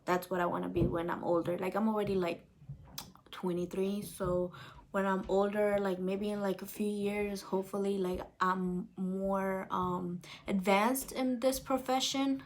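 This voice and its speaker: A woman's voice: mid-range voice, fairly even intonation, measured pace, slight background noise. A woman in her twenties from El Salvador, chatting casually to her viewers in a question-and-answer vlog, with lots of fillers and a direct "you guys" manner. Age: 20s. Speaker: vlogger.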